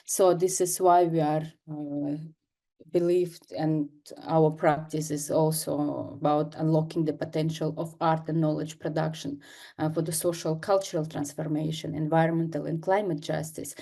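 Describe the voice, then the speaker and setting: A young adult woman from northern Kazakhstan, a native Kazakh speaker, giving a seminar lecture over a video call, halting with many fillers and restarts. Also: low-pitched, dark tone. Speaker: guest lecturer, artist. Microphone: computer mic on video call.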